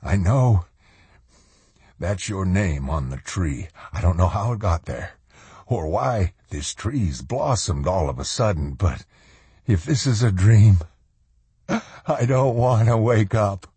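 Excitable, husky rustic old man. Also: low-pitched, dry recording, exaggerated voice. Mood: choked with awe. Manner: folksy, exclamatory, drawn-out.

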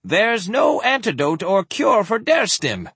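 A man's voice: medium pitch, big pitch swings, normal speed, a bit bright, breathy edge, dry recording, pitched above the performer's own voice, heavily acted. A man voicing a nervous, easily shocked male physician with a flustered, pleading manner, in an audiobook story. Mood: alarmed.

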